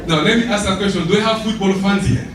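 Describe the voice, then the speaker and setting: A man from the Democratic Republic of Congo with a Congolese French accent, whose first language is French, giving a lively motivational speech. He asks the audience rhetorical questions and repeats his key phrases. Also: high-pitched, fast, crowd noise. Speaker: motivational speaker. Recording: very echoey hall, voice from far off.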